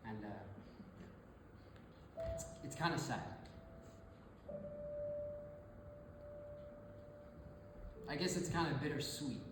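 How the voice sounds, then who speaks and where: An actor performing a theatrical monologue as a rambling, sentimental storyteller, speaking casually with halting, emotional repetitions. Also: medium pitch, expressive, noisy background, close to an everyday voice. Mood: wistful, moved. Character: rambling, sentimental storyteller moved by a family reunion, turning zealous. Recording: very echoey hall, distant mic, music behind.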